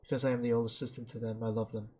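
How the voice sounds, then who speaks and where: A man narrating game text aloud in a dramatic, halting way, stumbling over some words as he reads. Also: mid-range voice, flat delivery, a little hiss or hum.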